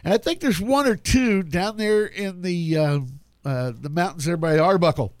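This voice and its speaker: Folksy radio host, dry sound. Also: male voice, sits high, normal speed, no room sound. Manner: folksy, chatty, stumbles over names and words while reading. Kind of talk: casual radio talk and news reading.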